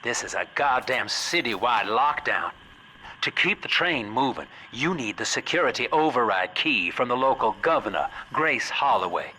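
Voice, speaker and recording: A shrewd hotelier guiding the player in a video game. He sounds middle-aged, chummy and folksy, with a forward, bright tone and very lively pitch. There is faint noise behind him. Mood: urgent. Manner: folksy, chummy, fast-talking schemer. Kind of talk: video game character acting.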